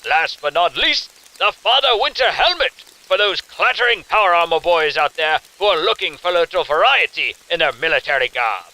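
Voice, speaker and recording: A man's voice: fairly high pitch, lively intonation, normal speed, few pauses, bright, forceful tone, rough recording. A man playing a sinister, theatrical festival host in an audio drama, taunting with mock politeness and gleeful menace. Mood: gleeful showman.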